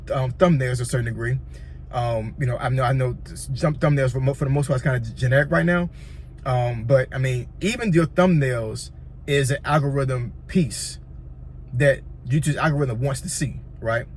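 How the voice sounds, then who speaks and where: A man's voice: mid-range voice, a little hiss or hum. A man with a Southern accent from Virginia giving casual, rambling tips as a YouTuber, often repeating himself and checking in with "you know what I'm saying.". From Virginia, USA. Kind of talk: casual tutorial talk.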